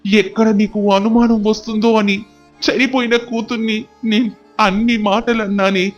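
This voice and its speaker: A man's voice: high-pitched, flat delivery, normal speed, muffled recording, soft background music, natural voice. A man playing a stern, anguished father and husband, scolding and emotional, in an audio story. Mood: anguished, confessing. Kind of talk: dramatic audio story dialogue.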